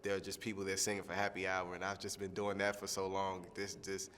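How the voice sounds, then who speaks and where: A young man, a singing contestant, answering interview questions in a relaxed, rambling way with lots of fillers. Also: sits low, fairly quick, long reverb tail, slight background noise. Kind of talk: press junket soundbite interview.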